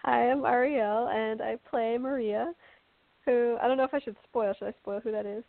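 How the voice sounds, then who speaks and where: Creaky, high-voiced woman on a phone line. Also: fairly even intonation, moderate pace, no echo. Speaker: guest actress. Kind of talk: casual chat.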